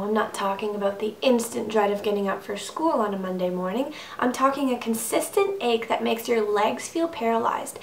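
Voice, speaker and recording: A young adult woman performing a slam poem in an earnest, emphatic, rhythmic style. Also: fairly high pitch. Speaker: slam poet. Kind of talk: slam poetry recitation. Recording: roomy, distant mic, faint noise.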